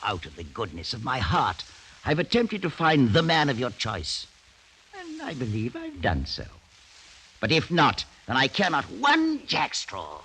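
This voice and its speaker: An actor playing a cruel, scheming older aristocratic uncle in a mid-century radio drama, speaking with mock courtesy and theatrical relish. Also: male voice, medium pitch, wildly swooping pitch, unhurried, bright, forceful tone, husky, exaggerated voice. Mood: stern, commanding. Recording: noisy vintage radio recording.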